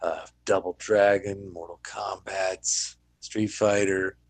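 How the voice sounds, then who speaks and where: A man's voice: low voice, flat delivery, slow pace, no echo, low-quality recording. A man chatting casually as one of the panelists on a podcast. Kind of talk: casual chat.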